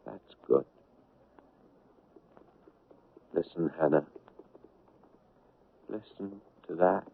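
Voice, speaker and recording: An actor in a 1970s radio drama playing a bewildered, anguished man, halting and stammering as he speaks. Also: low-pitched, gravelly, husky, exaggerated voice. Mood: wistful, excited. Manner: halting, stammering, repeating words. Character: anguished, haunted man newly dead, bewildered and lonely. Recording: noisy, narrow-band 1970s radio recording.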